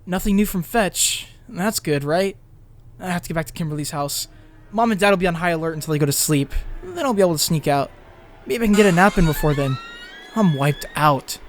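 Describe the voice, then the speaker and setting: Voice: medium pitch, lively intonation, a little fast, natural voice. An actor playing an anxious, guilt-ridden teenage boy who rambles and frets in a dramatic comic dub. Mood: weary, worried. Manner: rambling, fretful self-questioning with muttered asides.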